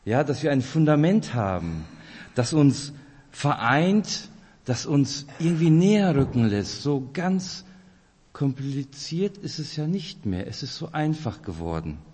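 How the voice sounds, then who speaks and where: A man's voice: a little high, very animated, measured pace, a little mellow. A man in his forties from Kazakhstan with a Russian-German accent and a rolled R, giving a friendly, chatty informal sermon as a lay preacher. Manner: friendly, chatty, addresses listeners directly. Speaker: lay preacher at a church weekend. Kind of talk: informal sermon with personal introduction. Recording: reverberant hall.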